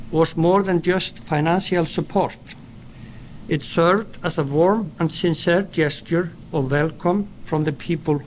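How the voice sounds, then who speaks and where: A middle-aged man from Iceland with an Icelandic accent, a company founder reading a prepared speech in a formal, measured way at an indoor event. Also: a little high, a little hiss or hum. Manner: formal, measured delivery read from paper. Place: indoor event at a dairy plant.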